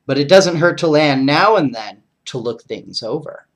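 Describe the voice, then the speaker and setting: A storyteller voicing a bold, excitable and grumbling young boy in a bedtime storybook. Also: medium pitch, normal speed, close to an everyday voice. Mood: resigned.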